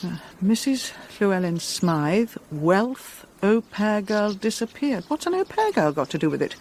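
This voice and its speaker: A woman's voice: mid-range voice, sing-song, unhurried, a little mellow, a little hiss or hum. An actress playing an inquisitive middle-aged English woman in a radio play, chatty and blunt, with an English accent. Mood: curious.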